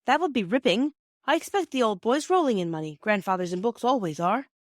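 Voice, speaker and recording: Woman voicing an eager English schoolboy, pitched high. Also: lively intonation, brisk, no room sound, clean studio sound, close to an everyday voice. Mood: eager, persuasive. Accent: British. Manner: old-fashioned boyish slang, pleading. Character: eager, earnest English schoolboy, her son.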